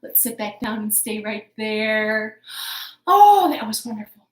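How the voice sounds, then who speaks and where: Female children's storytime host: high-pitched, slow delivery, playful and encouraging, in an echoing room. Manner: animated, encouraging, playful exaggeration for small children. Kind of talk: children's storytime, rhymes and counting. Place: living room at home. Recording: roomy, echoing home recording.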